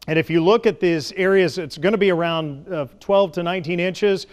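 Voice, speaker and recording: A man's voice: sits high, fairly quick. A man giving a broadcast weather forecast as a TV meteorologist, explaining with folksy analogies.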